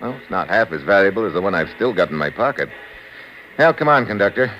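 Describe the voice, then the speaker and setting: An actor playing a cool, tough American special agent, wry and clipped, in 1950s radio drama style. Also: male voice, low-pitched, very animated, quick pace, a bit bright, dull audio. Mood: wry, smug. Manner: sardonic, clipped hard-boiled delivery. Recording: noisy vintage radio drama recording.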